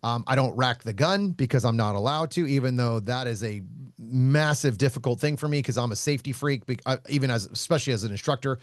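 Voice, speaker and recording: A man who hosts a podcast and teaches shooting, talking casually in a loud voice, blunt, laughing often and swearing freely. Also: mid-range voice, fast, dry recording, studio quality. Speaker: shooting instructor and podcast host.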